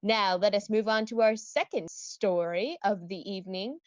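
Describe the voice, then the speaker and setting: A woman's voice: mid-range voice, moderate pace, no echo, clean studio sound, close to an everyday voice. A woman playing a radio host, introducing programs in a scripted announcer style. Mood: presenting. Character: radio announcer hosting an anthology evening.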